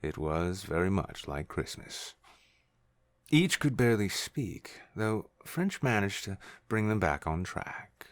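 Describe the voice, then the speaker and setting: A man's voice: low voice, very animated, takes it slowly, no echo. A man reading scripted narration for a science fiction story. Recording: music under the voice.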